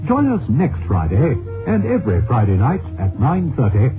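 A male announcer reads a formal sponsor message in old radio style. His intonation swings very widely, with clear music behind him. The recording is narrow and muffled. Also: medium pitch, huge pitch swings, normal speed. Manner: formal, declamatory.

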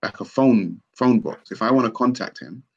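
A man's voice: mid-range voice, moderate pace, gravelly, a little mellow, lo-fi sound. A man hosting a casual conversation, skeptical and questioning, arguing with rhetorical questions.

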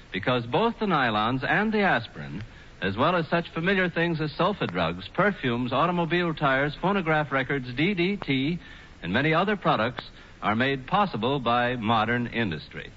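A man's voice: medium pitch, very animated, normal speed, highs cut off. An American man reading scripted 1940s radio advertising in an emphatic, declamatory way. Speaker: commercial spokesman. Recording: noisy, band-limited 1940s radio recording.

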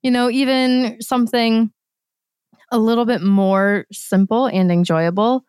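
A woman co-hosting a casual podcast, her voice slightly hoarse, explaining things earnestly. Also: on the high side, fairly even intonation, unhurried, darkish tone, no echo, clean studio sound. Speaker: podcast co-host.

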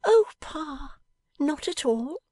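Here is a playful young wife who coaxes her father in a high, light voice that lifts and swoops, as a woman reader puts her on. The wheedling tone sounds close and dry, with no room around it. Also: very animated, slow pace, warm tone, no echo, heavily acted. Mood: wistful.

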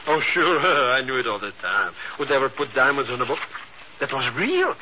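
An actor playing an excitable, greedy, dim sidekick who chatters and stammers eagerly in a 1950s radio drama. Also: male voice, mid-range voice, moderate pace. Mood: eager, curious. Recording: muffled, noisy early-1950s radio recording.

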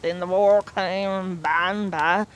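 A woman acts out a very old, cunning mountain crone with an old rural drawl. She speaks slowly and teasingly, in a mid-pitched, weathered voice. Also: fairly even intonation, muffled recording, a little hiss or hum, close to an everyday voice. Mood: sly. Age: older. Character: ancient mountain crone, cackling and sly.

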